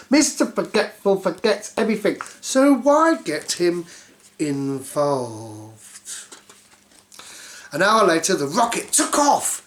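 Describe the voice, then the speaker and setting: A woman reading a children's story aloud in a silly, theatrical way, dropping in playful asides. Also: fairly low pitch.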